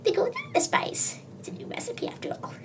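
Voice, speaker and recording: A young reader, heard as female, voicing a sweet, slightly crazy elderly grandmother with a thin, breathy, sing-song voice. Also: fairly quick, in a whisper, distant mic, close to an everyday voice. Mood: sing-song, eager.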